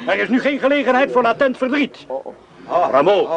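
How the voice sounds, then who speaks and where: An actor playing a brusque, commanding army surgeon who barks curt orders in a Dutch wartime radio play. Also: male voice, very high voice, lively intonation, normal speed, creaky, highs cut off, crowd noise, heavily acted. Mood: stern, pressured.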